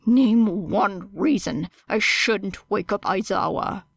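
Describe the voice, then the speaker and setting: Woman acting a teenage boy, alarmed, urgent, stammering, incredulous, audiobook character, slow-paced, close dry sound. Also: medium pitch, gravelly, breathy edge, no room sound, heavily acted. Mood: shaken, strained.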